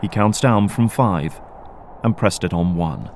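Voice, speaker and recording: Lowish male audio drama narrator. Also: expressive, measured pace, very noisy.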